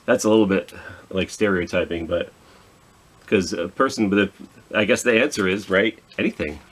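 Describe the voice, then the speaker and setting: A man's voice: low-pitched, moderate pace, a bit bright, breathy edge. A man hosting a children's storytime, reading aloud with chatty asides and questions to his listeners. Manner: chatty, self-talking, asks listeners questions. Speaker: children's library storytime host.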